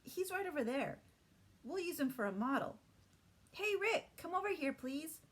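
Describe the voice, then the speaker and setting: A woman voicing a playful, excitable, chirpy little girl in a children's storybook. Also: sits high, normal speed, pitched above the performer's own voice. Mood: excited.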